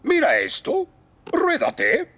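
An actor voicing a proud, self-important older fire chief in a children's cartoon dub, in Latin American Spanish. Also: male voice, extremely high pitch, wildly swooping pitch, slow pace, fairly bright tone, exaggerated voice. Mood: smug. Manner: pompous. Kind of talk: animated children's show dub.

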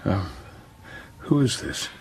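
An actor playing a troubled, earnest priest in a radio drama, hesitant and full of questions. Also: male voice, slow, slight background noise, lightly acted. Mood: uneasy, startled.

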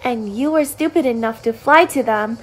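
A woman's voice: on the high side, normal speed, fairly bright tone, slight background noise, natural voice, rough recording. A woman playing a bitter, fed-up, sarcastic wife with a cutting manner in a radio play. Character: bitter, sardonic, fed-up wife of rich spouse.

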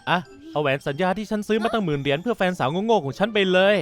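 A woman's voice: lowish voice, expressive, rapid-fire, music in the background, voice pushed down, natural voice. A woman voicing an arrogant, popular teenage boy who talks down to others, in a cartoon story. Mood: scornful.

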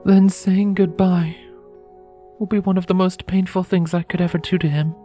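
A woman's voice: lowish voice, level tone, normal speed, warm tone, faint music behind, natural voice. A woman voicing a tender, conflicted adult unicorn with an earnest, formal, hesitant manner, in a fan fiction drama. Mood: regretful.

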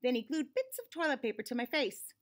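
A woman in her forties reads a picture book aloud for children. Her voice sits fairly high and moves expressively up and down as she dramatizes the narration. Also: brisk. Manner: animated, clearly dramatized for children. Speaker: children's read-aloud host. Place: small indoor room.

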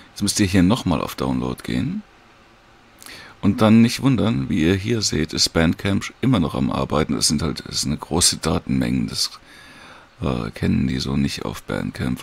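A man casually and hesitantly talks viewers through a screen recording in an informal, unhurried tutorial. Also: extremely low pitch, gravelly, slightly rough. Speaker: audiobook creator giving a download tutorial.

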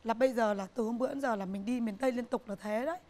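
A middle-aged woman, a business owner, answering interview questions on a TV talk show set in an earnest, halting way, often repeating herself. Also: a little high, warm tone. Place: TV studio set, indoors.

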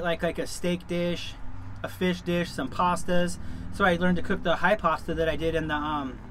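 Middle aged guy vlogging, pretty high voice, easygoing n rambly, clear vehicle noise behind him. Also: fairly bright tone. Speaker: vlog host. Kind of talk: casual chat to camera. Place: back of a truck camper.

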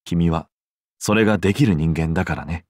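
An actor playing a devout, courteous Byzantine emperor in a game, speaking formally and reverently. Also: male voice, low-pitched, normal speed, a little mellow, dry recording, studio quality. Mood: reverent, earnest. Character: solemn, devout, courteous Byzantine emperor, humble and self-doubting. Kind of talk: scripted game character dialogue.

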